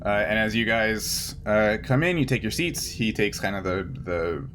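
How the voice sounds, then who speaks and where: A man working as game master, narrating an improvised tabletop story in a halting, conversational way. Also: lowish voice. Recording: clear steady background noise.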